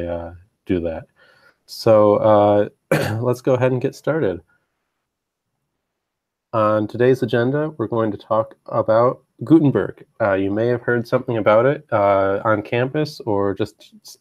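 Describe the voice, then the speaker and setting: A man's voice: on the low side, a little mellow, dry recording, lo-fi sound. Stuffy man presenting a live webinar. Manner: halting, many ums and uhs, clears throat often, self-deprecating. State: stuffy, getting over a cold. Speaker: university web team presenter. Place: his own room.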